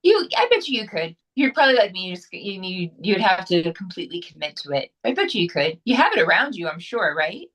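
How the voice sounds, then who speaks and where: A woman's voice: lowish voice, sing-song, fairly quick, hardly any pauses, a bit bright, rough recording. A woman actress chatting as a guest in a casual interview, upbeat and talkative, often restarting her sentences.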